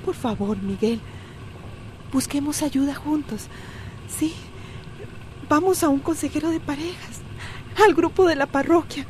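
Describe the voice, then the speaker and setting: A woman's voice: high voice, measured pace, long pauses, darkish tone, a little hiss or hum. A woman with a Costa Rican accent playing a weary but determined wife and mother in a radio drama. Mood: pleading, firm.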